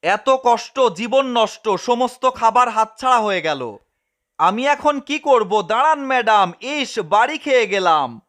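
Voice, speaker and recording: A greedy, mischievous tomcat in a cartoon dub. The voice is extremely high and piercingly bright, full of melodramatic whining, gloating and exclamations. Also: male voice, animated, unhurried, heavily acted. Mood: distressed. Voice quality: high-pitched, sharp. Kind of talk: fan-dubbed cartoon comedy.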